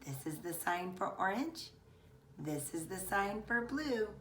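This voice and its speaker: A middle-aged woman reading a picture book aloud like a preschool teacher, expressive and deliberate, stressing key words. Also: mid-range voice, slight background noise. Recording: echoey room, distant microphone.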